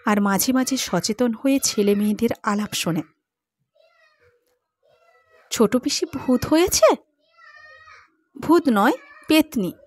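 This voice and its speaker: A woman narrating a classic story in a measured way, softening for the eerie passages. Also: medium pitch, dry recording. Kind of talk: scripted literary narration.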